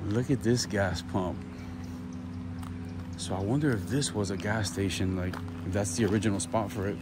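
A man's voice: fairly low pitch, normal speed. A man hosting a travel vlog outdoors, chatting casually and exclaiming in amazement at what he sees. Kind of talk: casual chat while touring. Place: outdoors in a small town. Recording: noisy outdoor recording, vehicle and ambient sounds.

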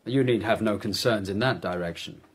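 An actor playing a proud, ambitious young prince, formal and resolute, in a historical audio drama. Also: male voice, fairly low pitch, a little fast, husky. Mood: coolly defiant. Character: proud, ambitious warrior prince, defiant son. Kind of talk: historical radio drama acting.

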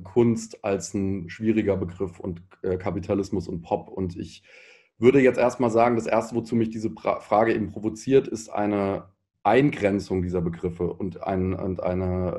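A man's voice: fairly low pitch, dark tone. A man in his thirties speaking reflectively as a panel guest, searching for words and often rephrasing mid-sentence. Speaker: panel guest, pop musician.

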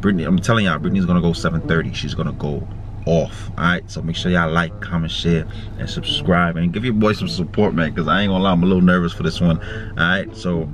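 A man's voice: fairly even intonation, quick pace, fairly bright tone. Young man talking from his parked car. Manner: playful, then pleading and high-pitched in denial. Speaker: vlogger pranking his girlfriend. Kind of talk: casual vlog to camera. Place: inside a parked car, outdoors in summer heat. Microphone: camera on the dashboard. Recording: car interior with background noise.

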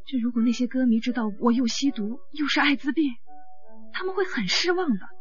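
Radio drama: troubled young female star played by an actress, high pleading voice, music behind, slightly muffled. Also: fairly quick, lightly acted. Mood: anxious, tearful. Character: distressed young female pop idol, pleading and proud. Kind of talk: Mandarin radio drama acting.

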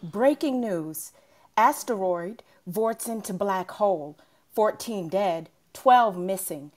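A woman plays a news anchor in a science fiction script. She delivers bulletins matter-of-factly in a mid-pitched voice. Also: animated, slow. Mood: grave, formal. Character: matter-of-fact breaking news anchor of the future. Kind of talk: screenplay table read.

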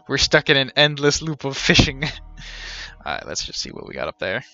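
Bright-voiced man streaming, slightly dull sound. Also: mid-range voice, huge pitch swings, normal speed. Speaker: game streamer. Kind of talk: casual live game commentary. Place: small indoor room with shelves. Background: game music.

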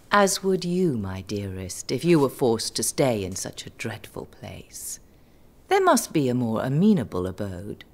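Low-voiced, animated, genteel duchess. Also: female voice. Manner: genteel, coaxing, refined. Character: gracious, imperious noble duchess, wife of a duke. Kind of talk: audio drama acting.

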